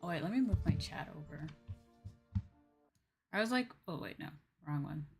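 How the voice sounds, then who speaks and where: A woman with a fairly low voice talks as a livestream host, rambling along in an informal, chatty way. Music plays behind her as she speaks to viewers. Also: expressive, normal speed, vocal fry, husky. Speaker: streamer, VTuber host. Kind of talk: casual livestream chat.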